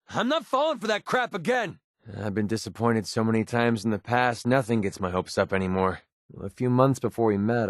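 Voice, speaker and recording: An actor playing a guarded, wry and short-tempered young mercenary with a tender side in a video game, speaking informally. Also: male voice, medium pitch, dry recording, studio quality, close to an everyday voice. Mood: angry, bitter, then earnest, hopeful. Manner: informal, halting when emotional. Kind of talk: scripted video game character dialogue.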